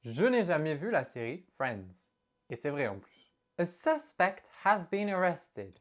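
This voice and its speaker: Animated woman teaching a lesson. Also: low voice, measured pace. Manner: animated, expressive explaining to viewers. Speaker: English teacher. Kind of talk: online language lesson.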